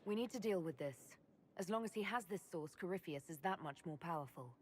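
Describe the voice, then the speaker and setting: Composed female commander , played by an actress, lower pitch, measured game dialogue over slight ambience. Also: lively intonation, a little hiss or hum, natural voice. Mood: serious. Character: composed, serious female leader and hero. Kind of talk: video game dialogue acting.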